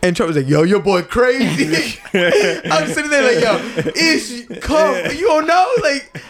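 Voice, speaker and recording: A man's voice: extremely high pitch, expressive, unhurried, a loud crowd. A man hosting a casual podcast with friends, laughing often and swearing freely. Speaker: podcast host.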